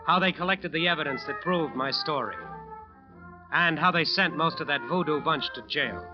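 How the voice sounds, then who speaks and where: A man's voice: fairly high pitch, moderate pace, close to an everyday voice. An American actor playing a haunted jazz bandleader, telling his story in clipped, hard-boiled radio-drama sentences. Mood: relieved, intent. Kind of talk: 1940s-50s radio acting, first-person narration. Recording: dull old radio recording, music behind.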